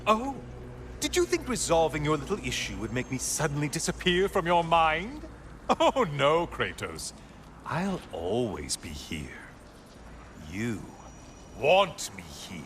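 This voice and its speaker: A man's voice: a little high, wildly swooping pitch, slow pace, fairly bright tone, slight background noise, exaggerated voice. An actor playing a spiteful, sneering male sun god in a video game, taunting and theatrical. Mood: mocking. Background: game music.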